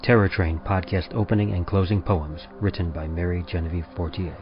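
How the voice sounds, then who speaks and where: A deep-voiced man reads scripted credits as a podcast announcer. His delivery is measured and formal, with music playing clearly behind a slightly muffled recording. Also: steady tone. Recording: muffled sound under music.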